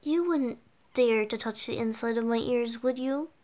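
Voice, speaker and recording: A woman voicing a flustered, bashful boyish bard with cat ears in an ASMR roleplay, halting and stammering as the character talks to the listener. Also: on the high side, warm tone, lightly acted. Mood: embarrassed, protesting. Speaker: audio roleplay performer.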